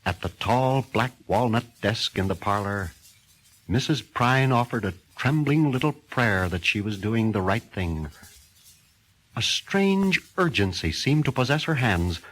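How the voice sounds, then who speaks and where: A man's voice: on the low side, lively intonation, a little slow, a bit bright, poor audio. A man narrating a horror story in a theatrical, suspenseful reading style.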